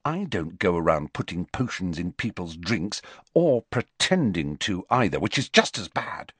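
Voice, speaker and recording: A man voicing a bookish, exasperated teenage girl in a storybook reading, with a brisk, disapproving, explanatory manner. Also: low-pitched, big pitch swings, husky, no echo, full character voice. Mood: cool, matter-of-fact.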